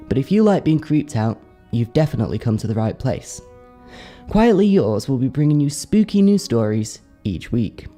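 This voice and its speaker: A man's voice: medium pitch, mellow. A man hosting a horror podcast, reading a scripted introduction.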